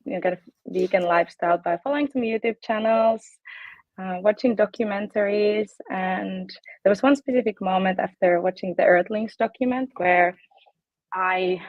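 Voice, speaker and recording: A woman's voice: mid-range voice, moderate pace, long pauses, no room sound, narrow, tinny audio. A woman in her late twenties from Finland with a Finnish accent, chatting casually as a guest fitness coach in a bubbly way with plenty of ums. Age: 20s. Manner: bubbly, frequent ums and laughs. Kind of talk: casual interview chat.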